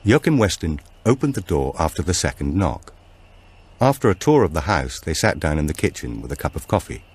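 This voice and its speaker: A man's voice: very deep voice, big pitch swings, a little fast, slightly rough. A man reading a supernatural thriller aloud as a scripted audiobook narration.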